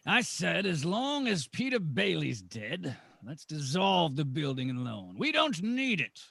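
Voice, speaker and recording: An actor playing a scornful, miserly old banker in a 1940s radio play, with a creaky, domineering delivery. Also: male voice, on the high side, wildly swooping pitch, unhurried, a bit bright, no echo, clean studio sound, heavily acted. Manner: contemptuous, domineering.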